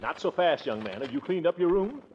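Middle-aged male blusterer: pompous, flustered, very animated pitch, 1940s radio comedy, dull noisy recording. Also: a little fast. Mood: scolding. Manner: blustering, stammering, chuckling.

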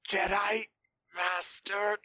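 Woman playing a choked, halting, dying assassin. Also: mid-range voice, little pitch movement, bright, forceful tone, full character voice. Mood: choked, pleading. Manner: strained, halting. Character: defeated assassin being choked, pleading.

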